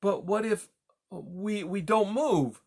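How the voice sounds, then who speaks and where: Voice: medium pitch, sing-song, unhurried, husky, pitched above the performer's own voice, exaggerated voice. A narrator voicing a small female mouse in a storybook, anxious, timid and stammering. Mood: alarmed. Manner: hesitant, stammering. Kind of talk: audiobook character dialogue.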